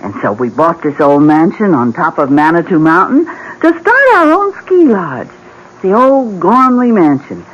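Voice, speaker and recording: An actress playing an encouraging, reasonable wife, speaking in an earnest, placating way in 1970s radio drama style. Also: female voice, on the low side, very animated, a little slow. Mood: earnest, coaxing. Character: cheerful, reasonable, encouraging wife and lodge owner. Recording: dull old radio broadcast.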